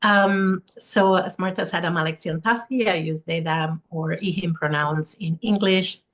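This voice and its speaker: A non-binary therapist from Italy, with Italian as a first language, being interviewed as a guest expert. They speak warmly and earnestly over a video call, often listing things in long runs. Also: medium pitch, normal speed. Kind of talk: interview, self-introduction.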